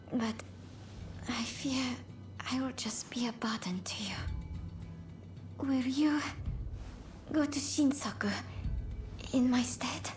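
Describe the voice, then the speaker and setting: A woman acting a gentle, anxious wife in a video game cutscene, speaking politely and carefully. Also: a little high. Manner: polite, careful, measured speech. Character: gentle, anxious Japanese wife, soft-spoken and grateful.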